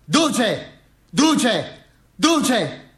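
A man voicing a frenzied crowd in a radio play, jeering and egging on in repetitive, drawn-out chants. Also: very high voice, big pitch swings, fairly bright tone, pitched above the performer's own voice, exaggerated voice. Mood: fanatical chanting.

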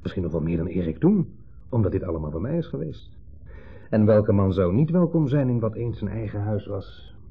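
A man's voice: lowish voice, big pitch swings, fairly quick, breathy edge, heavily acted. A male actor playing a smug, needling ex-husband in a 1970s Dutch radio drama, theatrical and falsely jovial in an insinuating way. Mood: insinuating, bitter. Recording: muffled old 1970s radio recording.

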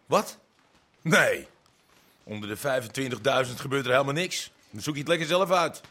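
A man's voice: mid-range voice, moderate pace, sharp tone. A man acting a shifty, defensive criminal accomplice in a radio drama, with an edgy, streetwise way of talking. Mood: irritated.

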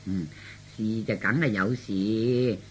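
A woman's voice: a bass voice, fairly even intonation, slow, husky, exaggerated voice. An actress playing a gossipy, meddling female relative in a radio drama, with a Cantonese accent and a roundabout, insinuating manner. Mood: coy, insinuating.

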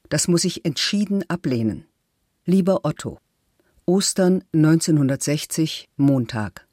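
A composed, firm middle-aged woman in a radio documentary. She speaks formally and articulately, with measured phrasing, close to the microphone in a dry studio. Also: deep voice, darkish tone, dry recording. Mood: formal, intimate. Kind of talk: documentary reading of statements and letters.

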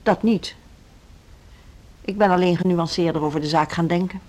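An actress in a Dutch radio play playing a mild, conciliatory middle-aged school director, measured and husky, with a Netherlands accent. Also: female voice, lowish voice, fairly even intonation, darkish tone, close to an everyday voice. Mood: defensive, measured. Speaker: radio drama actor.

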